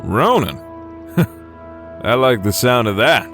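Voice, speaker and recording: A man's voice: medium pitch, big pitch swings, slow, a bit bright. A man playing a laid-back, skeptical gunslinger from the Old West, folksy and wry, in a game fan dub. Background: music.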